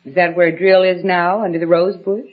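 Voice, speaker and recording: A woman's voice: fairly low pitch, flat delivery, moderate pace, close to an everyday voice. A woman playing an indulgent but mildly stern mother in an old radio drama. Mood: amused, chiding. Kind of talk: mid-century American radio drama acting. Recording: muffled, noisy old radio recording.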